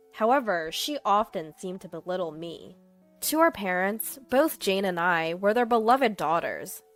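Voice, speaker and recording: A young woman narrating her own story calmly in the first person. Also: lowish voice. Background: faint music.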